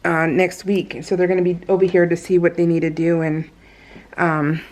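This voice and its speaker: A woman's voice: on the low side, level tone, moderate pace, darkish tone. A woman craft vlogger chatting casually in a giggly, meandering way as she goes through her scrapbook pages. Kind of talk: casual rambling chat over scrapbook pages.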